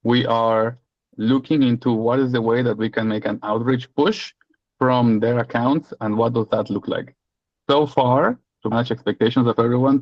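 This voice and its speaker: A man's voice: medium pitch, no echo, lo-fi sound. A man with a Mexican accent giving a legal update on a community video call, expansive and joking in long winding sentences. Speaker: legal and data protection lead.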